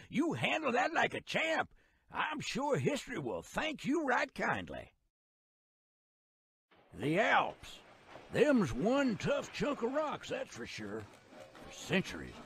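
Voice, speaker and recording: An actor playing a jovial, folksy old-timer narrator from the American West in a game cutscene, with a colloquial, theatrical drawl and a gravelly voice. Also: male voice, high-pitched, fairly bright tone, full character voice. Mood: jovial, congratulating, then folksy, animated. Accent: American Southern or Western drawl.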